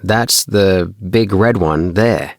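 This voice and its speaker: A man's voice: deep voice, takes it slowly, creaky, no room sound, studio quality, full character voice. A man voicing a troubled young male space traveller in an audiobook, with a reserved, earnest manner. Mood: guarded, explaining.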